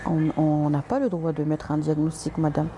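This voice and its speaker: A woman's voice: low voice, level tone, moderate pace, background noise. A woman doctor answering viewers' comments on a live stream, polite but direct, with frequent hesitations and restarts, slipping in English and Arabic words.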